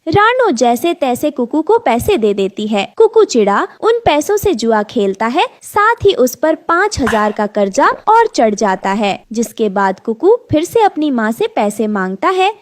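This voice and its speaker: High-pitched woman narrating cartoon story. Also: sing-song, unhurried, few pauses, no room sound, clean studio sound.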